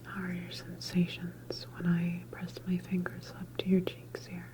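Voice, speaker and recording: Asmr lady doing a calm doctor roleplay, breathy whisper, flat soothing delivery, reassuring bedside manner. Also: fairly low pitch, measured pace. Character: calm, attentive female doctor examining a patient. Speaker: ASMR performer playing a government facility doctor.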